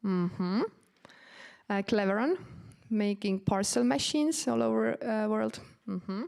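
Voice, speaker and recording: Woman, Estonian accent, native Estonian speaker, mid-range pitch, informal student presentation, casual, hesitant, frequent pauses. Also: creaky, darkish tone, smooth. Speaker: university orientation presenter.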